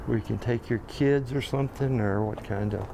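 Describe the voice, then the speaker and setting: An American man with a gravelly voice of ordinary pitch narrates casually as he walks. He thinks aloud, pausing often and filling gaps with small hesitations. His camera mic outdoors picks up clear street and traffic noise. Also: poor audio. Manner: relaxed, thinking aloud with frequent pauses and fillers. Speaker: videographer on a walking site tour. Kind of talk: casual walking commentary. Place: outdoor construction site in a city plaza. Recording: outdoor camera audio with noticeable background noise.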